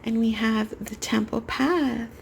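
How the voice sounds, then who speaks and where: Raspy young woman reading tarot cards. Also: fairly high pitch, fairly even intonation, takes it slowly, a little hiss or hum, poor audio. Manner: soft, meandering spiritual talk with many fillers. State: getting over a cold. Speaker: tarot card reader. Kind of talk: pick-a-card tarot reading to camera.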